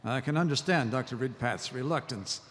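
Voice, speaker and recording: Man as shrewd military colonel, measured, formal, courteous, audio drama, hall reverb. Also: medium pitch, a bit bright, lightly acted. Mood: placating. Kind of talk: live audio drama acting. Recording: echoey live hall.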